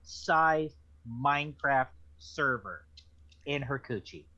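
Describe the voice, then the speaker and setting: A woman's voice: a bass voice, animated, takes it slowly, pushed and bright, no echo. A woman giving casual, joking commentary as part of a book club chat. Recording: slightly dull, lo-fi microphone.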